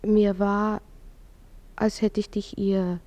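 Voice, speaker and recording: Actress as a hushed, confessing young wife. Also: female voice, mid-range voice, little pitch movement, lightly acted. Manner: hushed, halting, intense. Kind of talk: radio play acting.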